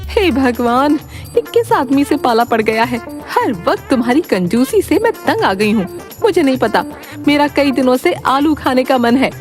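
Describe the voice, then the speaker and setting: A woman's voice: high voice, big pitch swings, normal speed, soft background music. A woman voicing an exasperated, nagging village wife in a cartoon story, scolding shrilly. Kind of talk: animated moral-story cartoon acting.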